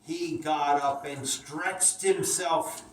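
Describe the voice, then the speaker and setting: A woman's voice: low voice, fairly even intonation, drawn-out words. A woman narrating a children's story expressively as a puppet show storyteller. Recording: echoey room, distant mic, clear noise.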